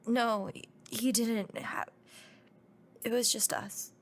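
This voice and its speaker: An actress playing a grief-stricken young fiancée in a screen drama, shaken but insistent. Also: female voice, a little high, steady tone, slow, a little hiss or hum. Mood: bewildered, guilt-ridden. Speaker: lead actress. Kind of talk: naturalistic screen drama acting.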